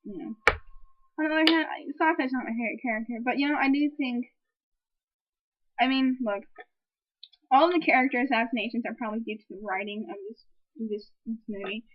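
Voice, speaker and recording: A young woman in her twenties with a high voice, reviewing a movie in an exasperated, rambling rant full of swearing. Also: fairly quick, pauses often, lo-fi sound. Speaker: film reviewer. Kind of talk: ranting casual movie review. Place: room at home with a piano.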